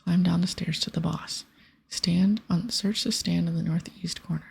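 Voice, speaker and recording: A woman giving casual, gentle ASMR commentary on a video game in a soft, breathy, often whispered voice. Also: fairly low pitch, fairly even intonation, moderate pace. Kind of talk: ASMR game commentary, reading dialogue aloud. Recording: soft music behind. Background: music, keyboard clicks.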